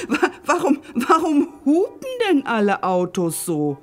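A woman voicing a naive, curious, excitable piece of land in a children's story, with a wide-eyed, expressive manner. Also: sits high, slow, soft background music, voice pushed up, full character voice. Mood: giggling amazement.

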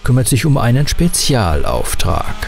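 A man's voice: mid-range voice, wildly swooping pitch, normal speed, creaky, faint music behind. A man reading a scripted documentary voice-over with a light, wry touch. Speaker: narrator.

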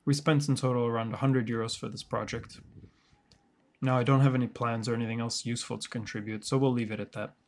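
Wry sarcastic guy doing a diy woodworking voiceover, mid pitch, bit of noise behind. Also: fairly even intonation, quick pace. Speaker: woodworker presenting a DIY tutorial. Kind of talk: scripted tutorial voice-over.

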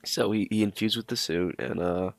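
A man's voice: fairly low pitch, normal speed. A young man giving casual, rambling reaction commentary as a host, often repeating his phrases. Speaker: host ranking horror videos.

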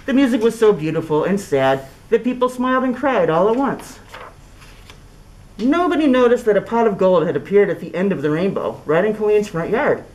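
Middle-aged woman, storybook narration, expressive, deliberate, widely varied pitch, masked, indoor room. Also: poor audio. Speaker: children's story reader. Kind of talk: read-aloud storybook narration. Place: small indoor classroom. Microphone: camera a few feet away, speaking through cloth mask.

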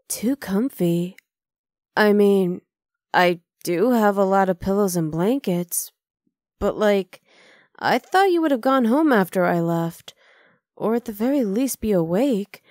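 A woman's voice: mid-range voice, darkish tone, dry recording, lightly acted. Close, dry, teasing half-dragon girlfriend voiced by a woman. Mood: puzzled, chiding. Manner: casual, coaxing, affectionate teasing. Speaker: ASMR roleplay voice actor. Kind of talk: ASMR roleplay acting.

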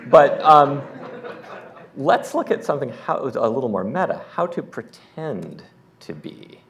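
A man's voice: medium pitch, very animated, measured pace, a bit bright. A man giving a casual keynote demo in a lecture hall, with wry asides. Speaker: conference keynote speaker. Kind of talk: live demo presentation.

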